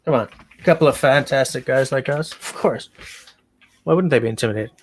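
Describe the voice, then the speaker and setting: A man's voice: mid-range voice, brisk, breathy edge. A man hosting a casual livestream, meandering as he reads out chat comments. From Canada. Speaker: livestream host.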